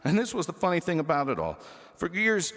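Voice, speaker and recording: A man reading a humorous children's story aloud expressively, with dramatic pauses and emphasis. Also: on the high side, wildly swooping pitch, fairly bright tone, in a large hall, soft background music.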